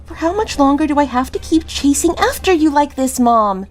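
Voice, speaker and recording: A woman plays a worn-out grown daughter in an audio drama, with a high voice. She sounds fretful, pleading and exasperated over faint music. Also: animated, normal speed. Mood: weary, embarrassed. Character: exhausted, exasperated grown daughter and young mother. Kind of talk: dramatic dialogue.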